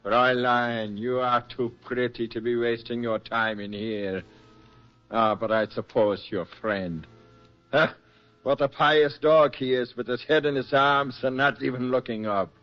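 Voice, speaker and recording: A man plays a German officer from a wartime radio play. He is curt and sneering, with a slow, leering delivery at medium pitch. The old recording is dull and muffled. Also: steady tone, lightly acted. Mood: leering, mocking. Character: brusque, sneering German officer hunting a spy. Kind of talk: 1940s radio acting. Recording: muffled vintage radio with music bed.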